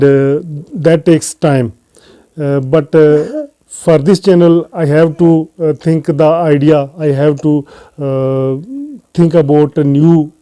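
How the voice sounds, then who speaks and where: A man with a Pakistani accent hosting an English-learning channel, talking casually to camera and pausing often on "uh" fillers. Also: on the high side, warm tone. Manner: hesitant, frequent "uh" fillers between phrases. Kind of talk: casual unscripted talk to camera.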